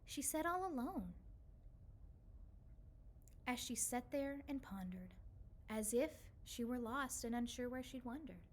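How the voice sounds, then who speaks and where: A woman reading a children's storybook aloud with an expressive, rhythmic delivery. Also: on the high side, measured pace, pauses often, slight background noise.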